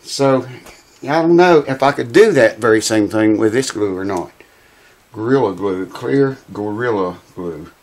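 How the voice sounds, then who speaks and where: Raspy, hoarse man. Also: fairly low pitch, darkish tone. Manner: rambling, folksy, swears casually. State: raspy, losing his voice. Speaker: guitar repair vlogger. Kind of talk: casual workshop chat to camera. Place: small cluttered home workshop with mounted animal heads, indoors.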